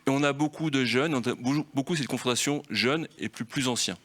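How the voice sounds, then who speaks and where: A man's voice: medium pitch, brisk, a bit bright. A man leading a festival round table on film, talking freely and explaining through rhetorical questions.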